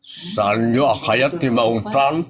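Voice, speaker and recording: An actor with an Ilocano Filipino accent playing a gruff, scolding boss and henpecked husband in a comedy audio drama. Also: male voice, mid-range voice, takes it slowly. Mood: scolding, exasperated.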